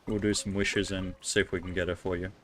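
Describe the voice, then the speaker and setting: A man's voice: low voice, flat delivery, quick pace, fairly bright tone, slight background noise. A man giving casual commentary as he streams a video game.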